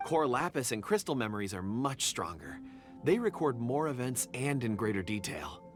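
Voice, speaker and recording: A man voicing a shy, polite miner in a video game, gentle and a little hesitant, sometimes stammering. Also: medium pitch, big pitch swings, moderate pace, faint music behind, lightly acted.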